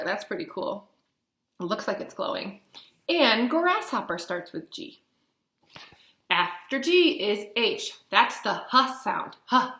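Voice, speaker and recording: A woman's voice: medium pitch, a bit bright. A woman in her thirties reading aloud to young children as a teacher, asking simple questions and stressing key words. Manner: simple explanations with frequent questions to listeners and stressed letter names. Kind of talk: read-along lesson for children. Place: indoors, small plain-walled room. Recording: roomy, echoey webcam sound.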